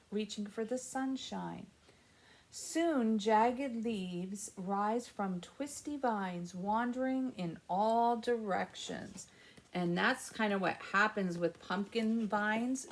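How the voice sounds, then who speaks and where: A woman hosting story time for young children, reading aloud with expressive emphasis and explaining things simply with questions to the listeners. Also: mid-range voice.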